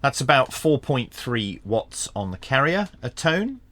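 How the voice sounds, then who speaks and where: A man giving a hands-on tech review in a measured, matter-of-fact way. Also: medium pitch, very animated, fairly bright tone. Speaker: product reviewer.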